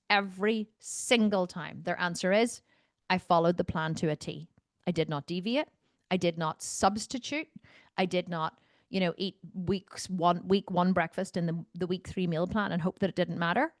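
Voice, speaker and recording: A woman with a medium-pitched voice speaks as a fitness coach answering questions on a video call. She is blunt and insistent, driving points home by repeating phrases. The sound is dry, with very little room in it. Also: dry recording. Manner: blunt, no-nonsense.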